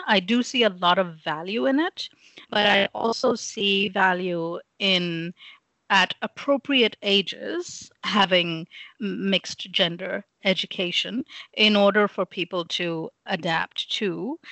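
A woman's voice: medium pitch, bright, forceful tone, no room sound. A middle-aged woman from Pakistan, a guest in an interview, speaking thoughtfully with many fillers and restarts and often ending her points with "right?". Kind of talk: reflective conversational interview.

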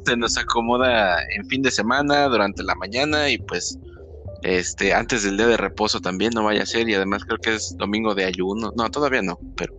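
A man hosting a casual podcast conversation, talking in a halting way with many restarts and fillers. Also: fairly low pitch, sharp tone, soft background music. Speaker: podcast host.

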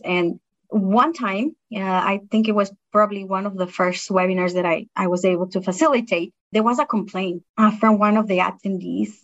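The recording is a little muffled and lo-fi. A middle-aged woman speaks English as a second language, with a non-native accent. She talks in an earnest, appreciative way, like a guest reflecting in an interview. Her pitch sits in an ordinary female range. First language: not English. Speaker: interview guest, produce industry science manager.

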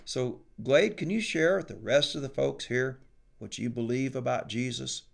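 A man voices a kindly, devout young farmer husband who talks calmly and sincerely. His tone stays at a mid pitch with some grit. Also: normal speed, creaky, lightly acted.

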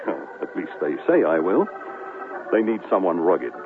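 Seeking a self-satisfied smooth-talking newsman for radio drama, deep and very animated in delivery. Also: normal speed. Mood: boastful. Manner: suave, self-satisfied, condescending. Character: smooth, boastful, flirtatious New York television reporter. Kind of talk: 1970s radio drama acting. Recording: tinny vintage radio broadcast, music.

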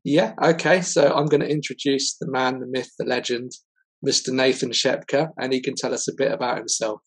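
Male co-host, husky mid voice, relaxed and rambling. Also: mid-range voice, a little fast. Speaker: screenwriter co-host. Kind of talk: casual podcast interview.

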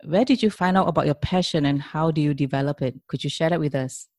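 A woman hosting a podcast interview in a formal, courteous way, deliberate and effusive with her compliments. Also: low-pitched, darkish tone, no echo, studio quality. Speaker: podcast host.

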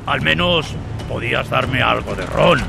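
An actor playing a rough, mutinous pirate in a radio drama, snarling, taunting and excitable. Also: male voice, mid-range voice, a little slow, over music. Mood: sullen taunting. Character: rough, hot-tempered, mutinous male pirate. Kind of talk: Spanish radio drama acting.

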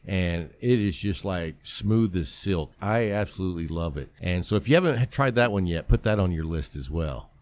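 A chatty man hosting an interview show, relaxed and friendly in his pitch. His voice sits a bit low, and he talks in a loose, rambling flow. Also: normal speed, hardly any pauses, no echo. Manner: easygoing, chatty, promotional. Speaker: podcast host. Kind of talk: casual interview chat.

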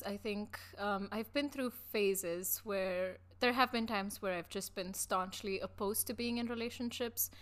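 Late twenties woman guest, calm reflective chat. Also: medium pitch. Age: 20s. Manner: reflective, measured. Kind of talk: casual chat.

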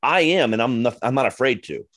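A man's voice: mid-range voice, lively intonation, fairly quick, fairly bright tone, no room sound, studio quality. A man in his forties hosting a podcast, telling stories in an earnest, rambling, casual way. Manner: earnest, storytelling, repeats himself. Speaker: podcast host.

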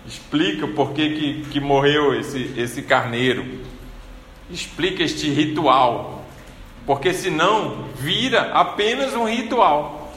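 Preacher, male, bright forceful voice, chatty storytelling sermon, long church reverb. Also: fairly high pitch, lively intonation, slow pace, slight background noise. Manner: conversational storytelling, frequent rhetorical "né?". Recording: echoey church hall with faint ambience.

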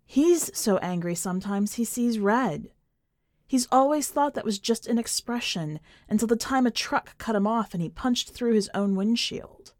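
A woman reading a short story in an expressive, scripted narration style. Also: a little high, normal speed, no echo, studio quality. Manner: expressive, acts out dialogue.

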